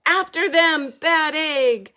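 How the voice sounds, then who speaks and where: A woman voicing a male storybook villain from a dark cave, yelping and snarling in loud shouts. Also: high voice, takes it slowly, voice pushed up, heavily acted. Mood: angry. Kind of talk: storybook character voice.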